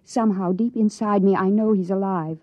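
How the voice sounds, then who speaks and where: Earnest young daughter, played by a woman, stubbornly loyal, medium pitch, muffled vintage radio play. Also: fairly even intonation, fairly quick, no echo, natural voice. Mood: subdued, defiant. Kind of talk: 1950s radio drama acting. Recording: dull, dry vintage radio recording.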